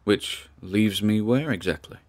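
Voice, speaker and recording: A man's voice: lowish voice, a little slow. A man playing an anxious, wry grandson who chattily records a spoken diary in an audio drama. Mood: pensive, uneasy.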